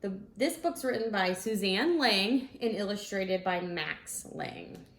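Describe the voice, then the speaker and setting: Slow, expressive woman reading to children. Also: medium pitch. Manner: expressive, deliberate, addressing young children. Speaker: school instructional coach reading aloud. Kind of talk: children's storybook read-aloud. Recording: roomy, echoey sound.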